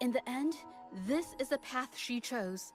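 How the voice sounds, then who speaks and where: A thoughtful young painter. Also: female voice, high voice, animated, faint music behind. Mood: serious. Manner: measured, reflective, hesitant at times. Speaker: game character. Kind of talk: video game voice acting.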